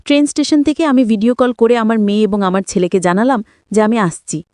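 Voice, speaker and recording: A woman narrates a scripted story in the first person, in a measured, dramatic way. Her voice sits a little high, and the sound is dry and close, with hardly any room on it. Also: darkish tone, no room sound.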